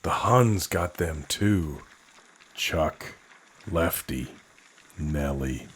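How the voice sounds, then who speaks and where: An actor playing a brooding, protective young American soldier in an audio drama, earnest and measured. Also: male voice, extremely low pitch, lively intonation, a little hiss or hum, exaggerated voice. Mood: anguished grief.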